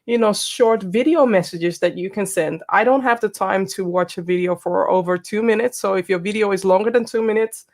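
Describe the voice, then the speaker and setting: A woman's voice: medium pitch, a little fast, few pauses. A woman whose first language is Dutch, speaking English with a Dutch accent as a guest in a casual panel chat, giving direct, practical advice. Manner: direct, practical, gives tips.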